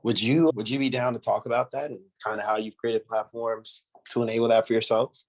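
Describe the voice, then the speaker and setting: A man hosting a casual podcast interview, talking in a relaxed way with casual slang. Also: medium pitch, brisk. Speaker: podcast host.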